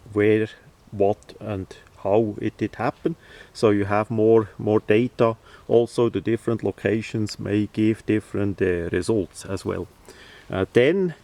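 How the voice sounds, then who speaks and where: Guy doing a casual how-to, deepish voice, really slow, stops mid phrase a lot. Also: darkish tone. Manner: halting, hesitant, pausing mid-phrase. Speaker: presenter of a how-to video. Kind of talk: informal instructional demonstration.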